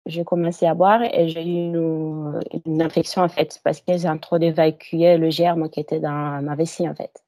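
A woman's voice: sits low, little pitch movement, normal speed, mellow, no echo. A woman in her thirties, a hypnosis client on a video call, telling her personal story hesitantly with many fillers.

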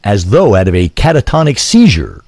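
A man's voice: lowish voice, sing-song, normal speed, a little mellow, husky, no room sound, studio quality. A man narrating an audio drama in a grandiose, literary, theatrical style. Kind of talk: theatrical scripted story narration.

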